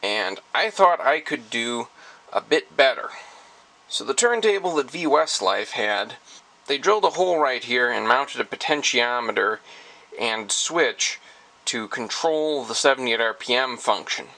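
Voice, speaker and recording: A man's voice: mid-range voice, expressive, measured pace, sharp tone, low-quality recording. A man presenting a hobbyist tech demonstration, explaining his device modification in a methodical, technically precise way.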